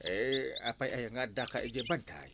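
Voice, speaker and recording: Actor as bumbling comic male villager, excitable stammer, very animated intonation, heavy background noise with chirping birds. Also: medium pitch, normal speed, natural voice. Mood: bumbling, evasive. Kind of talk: broadcast comedy drama acting.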